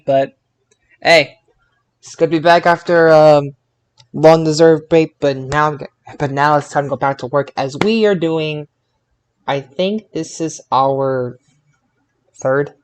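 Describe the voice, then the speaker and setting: Halting male podcast host, casual chat. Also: fairly high pitch. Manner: halting, repeats words while searching for phrasing.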